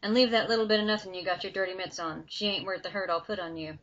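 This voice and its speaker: A woman voicing a huge, cocky teenage boy with a heavy Southern drawl, speaking loud and brash in a dull lo-fi recording. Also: fairly low pitch, steady tone, normal speed.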